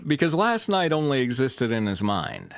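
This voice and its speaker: A man's voice: mid-range voice, very animated, normal speed, dry recording. A man reading a story aloud and chatting casually and jokingly with fellow panel members.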